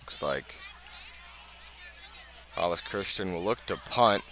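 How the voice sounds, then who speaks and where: Bright-voiced American man calling live football. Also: sits low, animated, some chatter behind. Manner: measured scene-setting, rising to urgent calls. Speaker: play-by-play sports announcer. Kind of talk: live radio football commentary.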